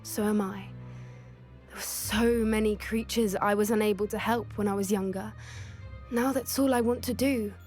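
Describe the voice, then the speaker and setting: A woman playing an earnest, gentle teenage witch who loves animals in a video game, speaking articulately and softly eager with a British accent. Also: medium pitch, steady tone, moderate pace, soft background music, natural voice. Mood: warm, grateful. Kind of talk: scripted video game dialogue acting.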